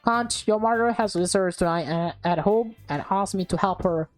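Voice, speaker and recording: A woman's voice: medium pitch, moderate pace, close to an everyday voice, poor audio. An aloof teenage girlfriend from a visual novel, read by a woman, curt and terse. She keeps her delivery cool, with faint music underneath.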